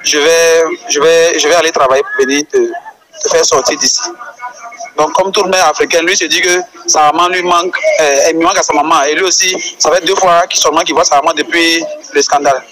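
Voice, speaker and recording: A man's voice: fairly high pitch, steady tone, fairly quick, crowd noise, rough recording. A man with an Ivorian French accent whose first language is Baoulé, leaving a voice message that explains a controversy and firing off indignant rhetorical questions. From Côte d'Ivoire. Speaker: caller.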